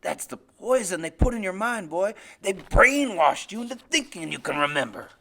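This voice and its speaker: A man voicing a cold, menacing grown killer father in an audiobook, drawing his words out slowly. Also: high voice, sing-song, pushed and bright, exaggerated voice. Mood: menacing, insistent. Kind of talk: audiobook character voice.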